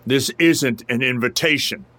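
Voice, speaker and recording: Slow-talking, menacing brawny enforcer. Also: male voice, mid-range voice, sharp tone, no room sound. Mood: cold menace. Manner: deliberate, taunting, threatening. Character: menacing, foul-mouthed big brawny enforcer. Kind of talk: audio drama acting.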